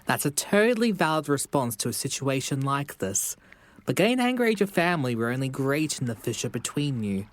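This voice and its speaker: A man voicing a calm frog therapist in an audio drama, speaking in measured, reassuring, clinical phrases. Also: mid-range voice, close to an everyday voice. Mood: measured, frank.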